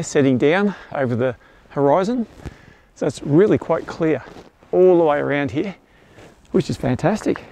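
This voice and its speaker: A man's voice: fairly high pitch, dark tone, slight background noise. A man, chatting enthusiastically to camera outdoors and explaining his photography as he goes. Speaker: astrophotographer vlogger. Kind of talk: casual talk to camera. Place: outdoors at night in the bush, then a bush camp in the morning. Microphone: clip-on mic.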